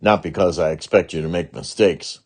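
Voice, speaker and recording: Man playing a husky, good-humoured storybook dad. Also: low voice, normal speed. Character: cheerful, teasing father of schoolgirls in a storybook.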